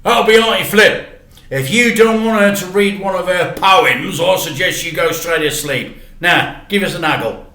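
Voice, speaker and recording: Man playing a loud, harried dad at bedtime. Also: high voice, moderate pace, echoey room, pitched above the performer's own voice. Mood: pleading, impatient. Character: harried, evasive working-class father putting son to bed. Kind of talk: children's storybook read aloud.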